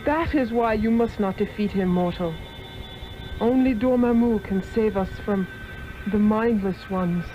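An actress playing an earnest woman of a dark dimension, formal and urgent, in a 1960s radio drama. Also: medium pitch, unhurried, close to an everyday voice. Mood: grave pleading. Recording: dull lo-fi old radio, music behind.

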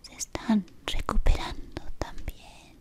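A young woman voicing a devoted female servant of a dark wizard, whispering close to the mic in a fawning, deferential way. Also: slow, natural voice. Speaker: ASMR roleplay creator. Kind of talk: whispered ASMR roleplay. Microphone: close sensitive mic at face level.

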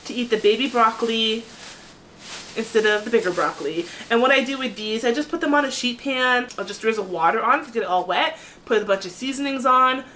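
A chatty, animated woman in her thirties talks to the camera from a small home kitchen, her voice sitting a little high for a woman. The camera stands a few feet away, and faint noise hums behind her. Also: brisk, low-quality recording. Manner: chatty, animated, gestures a lot while talking. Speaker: vlogger. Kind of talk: casual talk to camera. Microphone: camera at a distance.

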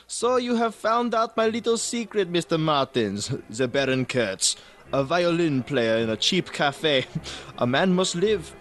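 An actor playing a shady, genteel baron and cafe violinist in a radio drama, courteous and formal. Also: male voice, a little high, sing-song, unhurried, fairly bright tone. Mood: smoothly polite. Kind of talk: old-time radio drama acting.

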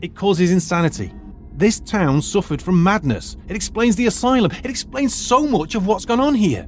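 Audio drama, a man as an archaeologist teacher, a bit high, very animated, lecturing. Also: moderate pace, soft background music. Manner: precise, lecturing, explanatory. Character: retired archaeologist turned schoolteacher, logical, lecturing.

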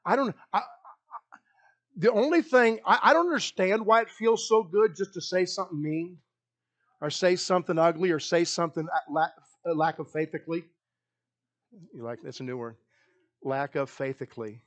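A middle-aged man with a Kentucky accent preaches in a small church hall, pacing the low stage with a handheld mic. His voice sits high for a man, and he speaks in an animated way, often circling back to repeat his words. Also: normal speed, pauses often. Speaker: pastor. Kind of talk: lively sermon. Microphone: handheld mic, filmed by a far wide camera.

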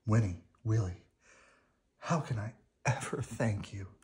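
A man voicing a gentle, friendly female talking tree in a storybook, with earnest, drawn-out speech. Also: lowish voice. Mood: grateful.

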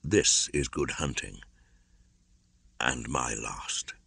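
A man voicing an old dying wolf in a storybook, quiet, slow, solemn and battle-weary. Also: a bass voice, animated, creaky, husky, no echo, exaggerated voice. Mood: grave, resigned.

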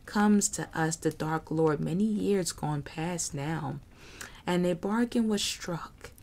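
A woman voicing a bitter, vengeful male reptilian creature with a rasping, hissing voice and slow, broken speech in an audiobook. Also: lowish voice, darkish tone, exaggerated voice. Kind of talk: audiobook character voice.

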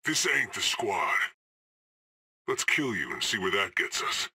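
Cocky assassin from a fighting game, slow deadpan one-liners, low voice that swings around a lot. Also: male voice, breathy edge, full character voice. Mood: sardonic. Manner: dry, sardonic one-liners. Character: cocky male hired assassin, cool, dry-witted. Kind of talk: video game voice clips.

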